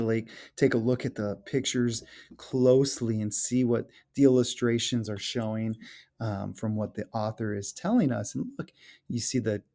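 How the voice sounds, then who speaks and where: A man reading a picture book aloud as a teacher, chatting to children and explaining the pictures with expressive, drawn-out phrasing. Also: medium pitch, gravelly. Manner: questions and chats to children, explaining illustrations.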